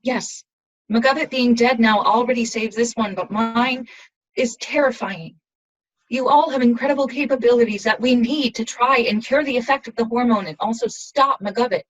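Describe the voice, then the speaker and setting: A woman's voice: on the high side, moderate pace, muffled recording, close to an everyday voice. A woman playing a resolute young rebel assassin from a dystopian future in an audio drama, speaking in an earnest, intense way. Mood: urgent.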